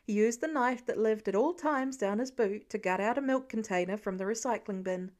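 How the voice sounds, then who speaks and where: A woman's voice: medium pitch, brisk, darkish tone. A woman reading scripted fiction aloud as an audiobook narrator.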